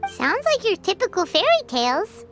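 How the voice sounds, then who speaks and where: A woman voicing a small, excitable fairy companion with a squeaky, childlike voice and exaggerated, bouncy reactions in a video game. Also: moderate pace, soft background music, full character voice. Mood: reactive. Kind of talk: game voice acting.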